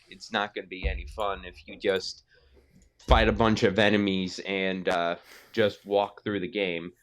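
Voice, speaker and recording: Male podcast guest, low voice, slow and halting, casual games discussion over faint music. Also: smooth. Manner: halting, hesitant delivery. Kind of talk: casual gaming podcast talk.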